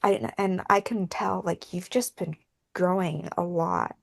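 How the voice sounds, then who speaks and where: A woman's voice: lowish voice, steady tone, measured pace, vocal fry, no echo. A woman hosting a casual podcast chat, talking in a wordy, meandering way peppered with filler phrases. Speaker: podcast host and fandub team member.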